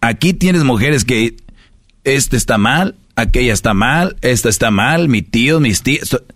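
A man's voice: medium pitch, lively intonation, normal speed, a bit bright, dry recording, clean studio sound. A Mexican man hosting a radio show, giving playful, joking dating advice and acting out little dialogues.

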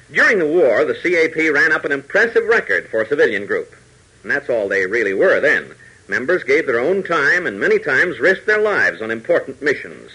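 A man's voice: on the high side, bright, forceful tone, slightly rough, low-quality recording. An American man narrating a 1940s radio adventure serial in a dramatic, emphatic announcer style.

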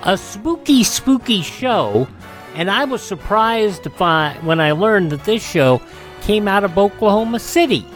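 Male radio host, mid-to-high voice, faint music. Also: expressive, measured pace. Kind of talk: conversational scripted introduction.

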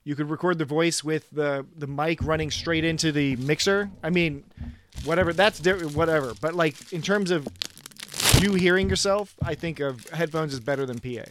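A man's voice: on the high side, moderate pace, fairly bright tone, slight background noise. A man in casual conversation, explaining things in an informal way. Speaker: band member explaining audio setup. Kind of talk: casual chat.

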